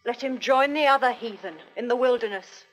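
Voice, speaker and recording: A woman's voice: on the high side, brisk, faint music behind, close to an everyday voice, poor audio. An actress playing a stern, judgmental Puritan village woman, moralizing in archaic speech. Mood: cold, moralizing. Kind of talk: period drama film acting.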